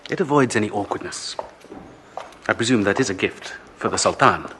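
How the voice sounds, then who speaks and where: An actor playing an eager, deferential palace guard in an audio drama, speaking politely, formally and carefully. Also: male voice, fairly low pitch, animated, brisk, a bit bright, a little hiss or hum.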